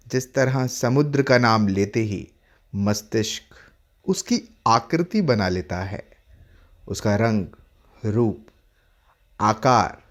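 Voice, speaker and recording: A man working as a yoga instructor, leading a guided relaxation in short instructive phrases with long pauses between them. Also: medium pitch.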